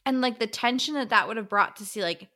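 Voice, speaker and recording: A woman's voice: on the high side, fast, bright, forceful tone. A woman co-hosting a book recap podcast in casual, playful, informal language.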